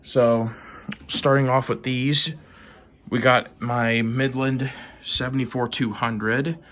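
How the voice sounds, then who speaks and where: An American man giving a casual show-and-tell as a hobbyist vlogger, with a hesitant, trailing, low-key delivery. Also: steady tone, slow pace, fairly bright tone. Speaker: hobbyist vlogger showing a collection.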